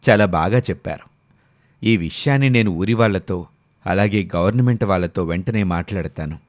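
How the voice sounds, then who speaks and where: A man's voice: on the low side, animated, a little fast, dry recording, studio quality, pitched below the performer's own voice, close to an everyday voice. A man voicing a generous, dignified and agreeable village benefactor in a moral story.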